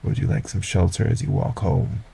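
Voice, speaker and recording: A man voicing a polite, sinister male stranger in an audio roleplay, speaking formally and courteously with a soft, breathy menace. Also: low voice, level tone, moderate pace, noisy background. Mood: smooth, courteous.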